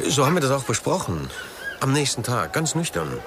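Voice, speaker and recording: A man's voice: medium pitch, big pitch swings, brisk, natural voice. Defensive, guilt-ridden man over noisy ambience acted. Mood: defensive, self-justifying. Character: guilty man confessing to a friend, defensive, self-justifying. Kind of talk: naturalistic radio crime drama acting.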